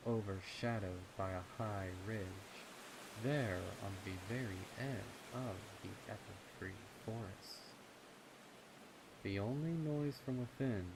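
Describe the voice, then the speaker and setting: A man reading scripted dark fiction as narrator, in a measured, deliberate manner. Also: on the low side. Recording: noticeable ambient noise behind the voice. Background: ambient sound effects.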